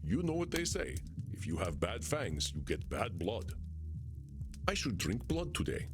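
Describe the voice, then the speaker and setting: Urbane vampire mentor, lowish voice, swooping intonation, formal, measured wording, patient, teasing, game dialogue over music. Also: male voice, huge pitch swings, exaggerated voice. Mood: instructive, playful. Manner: formal, measured, instructive phrasing. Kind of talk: video game voice acting.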